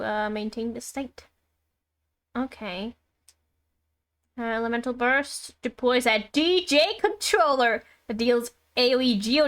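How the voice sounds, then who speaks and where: Girl streamer commentating on a game, kinda high voice, giggly and excitable, faint game music in back. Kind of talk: casual livestream commentary, reading game text aloud.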